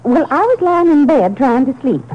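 A woman's voice: high-pitched, animated, normal speed, a little hiss or hum. An actress playing a chatty, prim older woman who rambles, in a 1950s radio drama. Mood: fussy. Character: fussy older woman hotel guest. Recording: muffled old radio broadcast.

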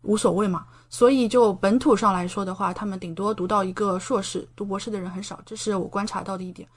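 A woman's voice: mid-range voice, steady tone, quick pace. A young woman from China, a doctoral student speaking as an interview guest, explaining things in a conversational way. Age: young adult.

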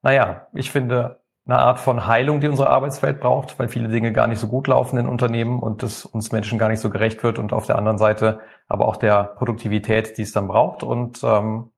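A man from the Rhineland introduces himself as an agile coach on a panel, relaxed and chatty, pausing with fillers. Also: mid-range voice, gravelly. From Rhineland, Germany. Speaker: agile coach and company founder.

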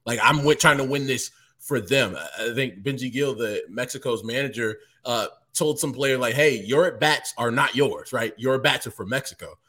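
Casting: male sports writer guest, mid-pitch, rambling and animated in casual interview. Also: moderate pace, few pauses, husky.

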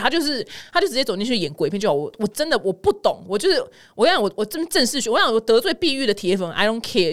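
A woman's voice: fairly high pitch, fairly bright tone. A woman with a Taiwanese accent hosting a casual, comedic news podcast, chatty, playful and opinionated as she mixes in personal anecdotes. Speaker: host of a news commentary podcast.

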